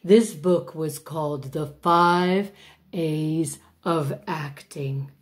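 A woman's voice: low voice, drawn-out words. A woman playing a pompous, vain older actress who teaches a masterclass in a grand, drawling, self-important manner. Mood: grandiose. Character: pompous aging female stage diva, vain. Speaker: acting teacher in a mock masterclass. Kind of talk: comic character monologue.

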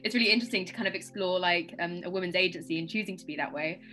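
A woman's voice: lowish voice, rapid-fire, bright, forceful tone, faint music behind, rough recording. A woman in her twenties casually hosting an interview over a video call. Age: 20s. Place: indoor room, video call.

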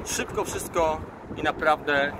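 A man in his forties, a tour guide, explaining history informally to camera in a halting way, with pauses mid-phrase. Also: a little high. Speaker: tour guide and travel vlogger. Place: outdoors by a road bridge. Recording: loud outdoor traffic noise. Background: passing cars and vehicles.

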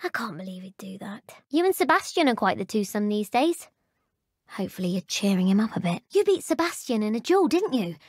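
A woman's voice: mid-range voice, sing-song, a little fast, husky, dry recording, studio quality. A young woman playing a gossipy teenage student witch in a video game. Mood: gossipy, bright. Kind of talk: scripted video game dialogue.

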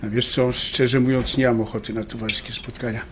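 Actor as stubborn, loving husband: natural mid-pitched delivery, light restaurant clatter behind. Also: male voice, little pitch movement, a little fast, noisy background, close to an everyday voice. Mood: stubborn, decided. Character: stubborn, affectionate husband, Polish emigrant. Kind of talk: naturalistic audio drama acting. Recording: faint clinking restaurant background. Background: cutlery and dishes clinking.

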